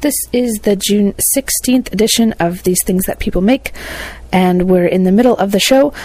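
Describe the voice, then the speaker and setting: A woman's voice: medium pitch, moderate pace, a little mellow, no room sound. A woman presenting a radio show, announcing an interview in a plain presenter's style.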